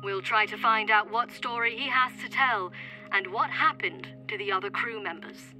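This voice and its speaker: An actress in an audio drama playing a brisk female television reporter delivering breaking news with urgency. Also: medium pitch, over music, lightly acted. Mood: urgent, serious.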